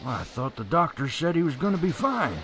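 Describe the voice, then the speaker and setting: An actor playing a plain-spoken, concerned airport mechanic in an audio drama, talking casually. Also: male voice, a little high, sing-song, brisk. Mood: surprised, concerned.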